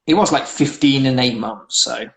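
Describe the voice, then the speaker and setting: English guest, mid-pitch, chatty rambler, casual stream chat. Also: moderate pace, gravelly. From England. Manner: rambling, anecdotal, lots of fillers and restarts. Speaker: guest on a livestream.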